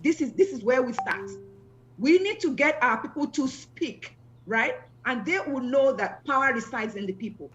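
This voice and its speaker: A woman answering as a panel guest, halting and full of fillers. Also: sits high, lively intonation, a bit bright, dull audio. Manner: halting, many fillers and restarts.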